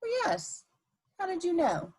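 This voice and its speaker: Worldly society adventuress, woman performer, high and lilting with big pitch swings; coquettish, theatrical, scheming, for a period comedy play. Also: huge pitch swings, a little mellow, full character voice. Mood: airy, evasive. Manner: theatrical, coquettish, drawn-out emphasis.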